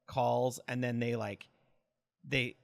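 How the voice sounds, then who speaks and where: A man co-hosting a podcast in casual comedic banter, telling things in a rambling, halting way. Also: mid-range voice, flat delivery, creaky, no echo. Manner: rambling, halting storytelling with frequent "like". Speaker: podcast co-host.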